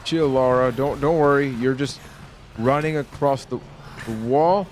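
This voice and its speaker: A man doing live let's play commentary as a gaming streamer, chatting casually with animated, exclamatory reactions. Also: mid-range voice, unhurried. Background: faint game music and sounds.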